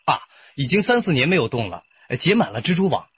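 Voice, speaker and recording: A man's voice: a little high, big pitch swings, fast, fairly bright tone, a little hiss or hum. An actor playing a nervous, eager young village man who asks anxious questions in a radio drama. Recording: dull lo-fi radio play audio.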